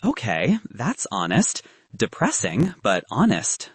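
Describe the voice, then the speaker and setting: A man's voice: fairly low pitch, wildly swooping pitch, takes it slowly, breathy edge, no room sound, voice pushed down, full character voice. A man voicing a young woman physicist in an audiobook, confident and frank, with a dry, advice-giving manner. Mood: frank, serious.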